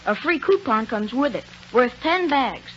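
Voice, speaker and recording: An eager young boy makes a 1930s radio sales pitch. The voice is very high and swoops with excitement. The old recording sounds muffled. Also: unhurried, faint music behind. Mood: excited, eager. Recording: dull 1930s radio recording, faint sizzle.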